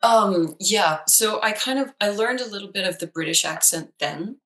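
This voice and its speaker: A woman's voice: mid-range voice, animated, normal speed, fairly bright tone. A woman whose first language is Danish, a psychologist in casual conversation, chatty with many fillers and restarts. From Denmark. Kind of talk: casual chat.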